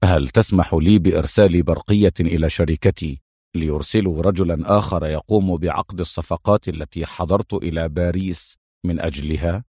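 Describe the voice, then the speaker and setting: A man voicing a serious, sharp-witted secret agent in an audiobook, measured and terse. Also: deep voice, breathy edge, dry recording, studio quality, voice pushed down. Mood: annoyed. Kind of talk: dramatized audiobook hero.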